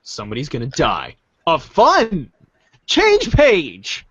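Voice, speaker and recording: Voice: medium pitch, wildly swooping pitch, slow pace, a bit bright. A podcast host doing a playful, theatrical group reading of a webcomic script. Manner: playful, theatrical page-change calls.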